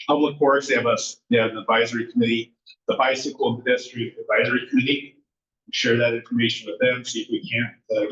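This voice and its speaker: A man's voice: medium pitch, a bit bright. A man giving announcements at a neighborhood meeting, unscripted and full of fillers. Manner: unscripted, many fillers and restarts. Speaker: neighborhood association officer. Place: large echoey school meeting room. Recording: echoey, distant, muffled room audio.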